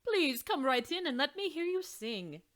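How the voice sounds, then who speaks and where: A woman's voice: very animated, normal speed, fairly bright tone. A woman reading aloud and voicing a pompous, theatrical male mouse choir master in a storybook. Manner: booming, theatrical.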